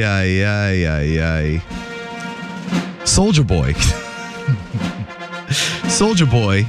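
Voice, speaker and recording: A man hosting a morning radio show, telling entertainment news in a jokey, animated way. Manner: animated, jokey, voice jumps high for emphasis.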